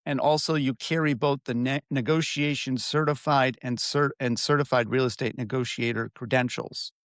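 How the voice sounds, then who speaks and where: A man hosts a conversational interview podcast in a friendly, measured way. His voice sits in a middle register. It is recorded close and dry. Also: medium pitch, fairly even intonation, fairly bright tone, no echo. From Florida. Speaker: podcast host and mediator.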